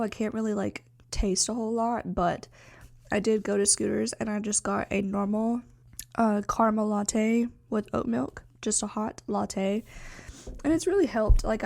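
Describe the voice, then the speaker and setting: A woman's voice: medium pitch, creaky, darkish tone, dry recording, clean studio sound. A young woman hosting a true crime podcast, stuffy and congested from a cold, talking in a chatty way with lots of fillers. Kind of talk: casual chatty podcast talk. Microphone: sitting to film, close mic.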